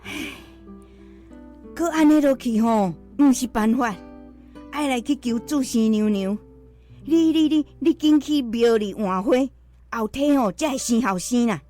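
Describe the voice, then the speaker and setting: A woman playing a superstitious, fretting old grandmother in a radio drama, with a Taiwanese accent and drawn-out exclamations. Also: on the high side, expressive, dark tone, faint music behind. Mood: fretful.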